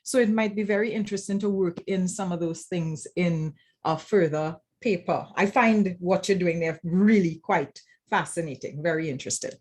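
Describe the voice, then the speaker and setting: A woman's voice: fairly low pitch, moderate pace, darkish tone, husky. A woman with a Trinidadian accent speaking as a lecturer at an informal roundtable, explaining reflectively and often checking in with "right?". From Trinidad and Tobago. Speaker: academic writing lecturer, panel speaker.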